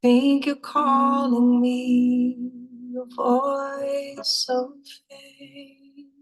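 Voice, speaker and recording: Woman singer, high bright voice, very slow drawn-out phrasing, music behind. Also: poor audio. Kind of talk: singing a song in a music video. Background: musical accompaniment.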